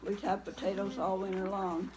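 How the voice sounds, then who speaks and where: An older woman, a grandmother, telling family memories in a casual, halting, reminiscing way. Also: medium pitch, little pitch movement, a crowd in the background. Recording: noisy informal family gathering recording.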